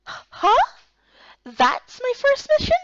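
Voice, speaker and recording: A woman voicing a spirited, incredulous young guard recruit in a visual novel game, expressive and questioning. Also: extremely high pitch, slow pace, no room sound, lo-fi sound, heavily acted. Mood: indignant.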